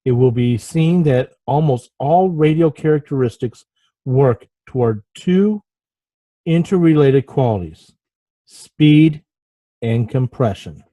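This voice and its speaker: A man hosting an informal writing lesson, halting and apologetic as he talks and reads aloud, often stumbling over his words. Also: mid-range voice, expressive, warm tone, dry recording, muffled recording. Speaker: host of a writing podcast. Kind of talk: informal lesson with passages read aloud from a book.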